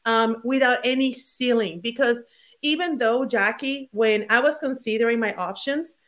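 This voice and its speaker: Energetic Puerto Rican woman, higher voice. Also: moderate pace. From Puerto Rico. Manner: energetic, candid, frequent fillers and restarts. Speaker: guest, former attorney. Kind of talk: casual interview from home. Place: her home.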